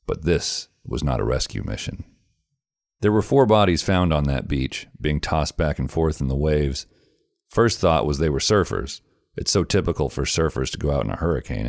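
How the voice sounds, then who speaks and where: A man, the show's host, reading a scary story aloud in a measured, grave storytelling manner. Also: rumbling low, gravelly, a little mellow, no echo, clean studio sound. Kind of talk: scripted narration of a horror story.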